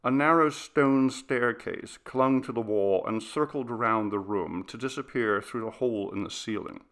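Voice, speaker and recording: A man reading a literary ghost story aloud as an audiobook narrator, in a measured, dramatic storytelling manner. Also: medium pitch, fairly bright tone.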